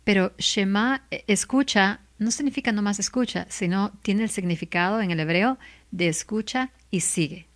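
A middle-aged woman, a lawyer and legal commentator, speaking as an interview guest in an animated, heartfelt way, laughing often and repeating words for emphasis. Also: mid-range voice, moderate pace. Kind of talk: faith-based interview answer.

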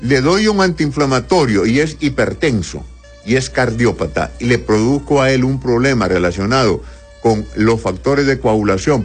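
A man speaks in a steady middle-pitched voice, explaining medical matters to listeners in an unhurried, patient manner. He sounds like a radio doctor on a call-in show. Faint music runs softly behind him. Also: slightly rough. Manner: calm, explanatory, reads messages aloud.

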